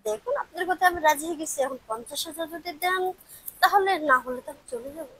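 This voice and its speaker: A woman's voice: very high-pitched, animated, fast talker, sharp tone, full character voice, low-quality recording. A woman playing a feisty, insistent female delivery worker in an amateur melodramatic skit. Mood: conceding, bargaining. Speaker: actor in an amateur drama video.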